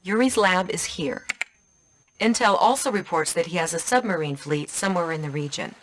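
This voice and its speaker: An actress playing a composed military intelligence officer giving scripted briefings in a video game. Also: female voice, fairly low pitch, normal speed, natural voice. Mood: composed, businesslike. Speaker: mission briefer. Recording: clear battle and vehicle noise behind.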